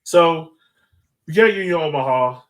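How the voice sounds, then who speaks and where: A man with a fairly high voice and very lively intonation hosts a casual sports podcast. He keeps it informal and jokey, bantering as he steers the conversation. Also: a little slow. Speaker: podcast host.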